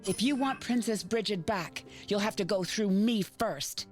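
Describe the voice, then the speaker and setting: A woman's voice: medium pitch, unhurried, close to an everyday voice. An actress playing a defiant young woman who guards a secret ceremony, in a game cutscene with faint music behind. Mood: taunting. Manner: cold and taunting. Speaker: game character.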